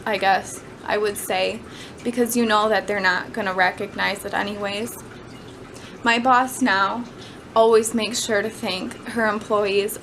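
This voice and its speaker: A woman's voice: medium pitch, a bit bright. A woman giving an informal student speech about personal experience, speaking in a conversational, somewhat hesitant way. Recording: clearly noisy amateur camera recording.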